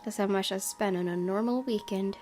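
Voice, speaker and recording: A woman narrates a visual novel in the first person, reading from the script. Her pitch is average for a woman, and music plays clearly behind her. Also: brisk, darkish tone. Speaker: gaming video host reading a visual novel aloud.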